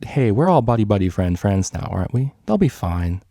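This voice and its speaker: A man's voice: lowish voice, big pitch swings, a little fast, creaky, dark tone, no room sound, studio quality, full character voice. Man as a breezy, cheeky unicorn filly. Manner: breezy, slangy. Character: young unicorn DJ filly, cheeky, casual, optimistic.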